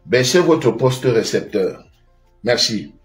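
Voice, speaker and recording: Calm man taking calls, echoey. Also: mid-range voice, takes it slowly, soft background music. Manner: brief thankful replies, calm. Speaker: singer taking calls from fans. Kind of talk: live call-in chat.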